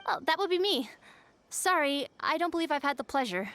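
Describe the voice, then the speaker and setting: An actress playing a courteous married housewife, a little fed up with chores, in a video game. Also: female voice, high voice, animated, brisk, fairly bright tone, slight background noise. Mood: polite, curious. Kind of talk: scripted video game voice acting.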